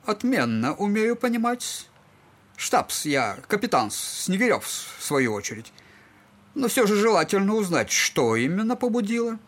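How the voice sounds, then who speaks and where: High husky buffoonish captain. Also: male voice, very animated, a little slow, a bit bright, heavily acted. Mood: mocking politeness. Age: middle-aged. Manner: clowning, servile, mockingly over-polite. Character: shabby drunken captain, buffoonish, humiliated yet defiant. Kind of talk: theatrical audiobook drama.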